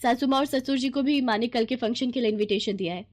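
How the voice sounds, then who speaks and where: A woman's voice: on the high side, fast talker, darkish tone, close to an everyday voice. A woman voicing a cheerful, eager and affectionate young sister-in-law in a storybook tale. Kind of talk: acted storybook dialogue.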